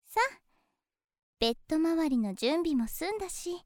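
Very high-pitched, flirty nurse. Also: female voice, animated, a little mellow, no room sound, clean studio sound. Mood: friendly, attentive. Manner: cutesy, teasing, coaxing, unhurried. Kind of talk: Japanese situation voice drama, close one-on-one address.

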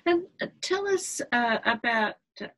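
A high-pitched woman hosts an interview. Her voice is breathy. She sounds upbeat as she introduces her guest and hesitates with fillers in her questions. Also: animated, unhurried, a bit bright. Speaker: interview host. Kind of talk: hosted interview.

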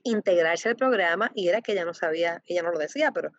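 A woman representing a foundation, answering interview questions with many pauses, fillers and restarts. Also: on the low side, dry recording. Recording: dull lo-fi dry sound.

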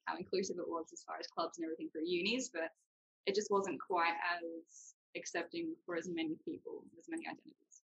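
A woman's voice: on the low side, fairly even intonation, a little fast, a bit bright, husky, rough recording. A young adult woman, explaining things informally and reassuringly as a guest in a casual chat. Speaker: guest, university student.

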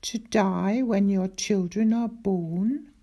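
A woman's voice: mid-range voice, slow pace, dark tone. A woman voicing a curious, polite young girl who asks careful questions. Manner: inquisitive, cautious.

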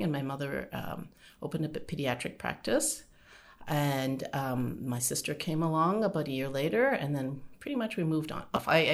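Low-voiced woman telling her family story. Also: fairly bright tone. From Canada. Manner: rambling, chatty, laughs often. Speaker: interview guest. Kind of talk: relaxed personal storytelling.

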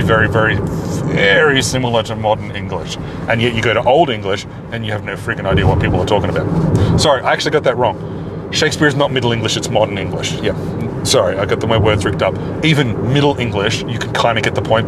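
An Australian man, middle-aged, talking casually and digressively while driving, often correcting himself and swearing mildly. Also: mid-range voice. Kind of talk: casual rambling monologue. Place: inside a car while driving. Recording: loud car and traffic noise. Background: road and engine noise.

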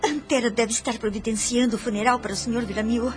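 A woman's voice: on the high side, steady tone, fast, faint music behind, close to an everyday voice. An actress playing a determined, impassioned young noblewoman in a Brazilian radio drama, with a theatrical, declamatory delivery and a Brazilian accent. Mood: resolute.

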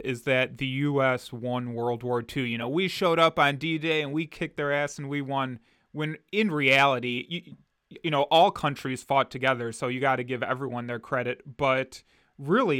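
A man's voice: medium pitch, steady tone, moderate pace, fairly bright tone, clear, resonant tone, no room sound, studio quality. A man co-hosting a casual political podcast, earnest and explanatory, filling his sentences with loose "like"s. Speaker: podcast co-host.

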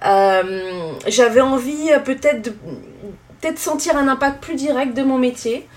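Female guest, thirties, French from France, fairly high voice, conversational interview answers. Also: a little slow. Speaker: podcast guest, tour guide.

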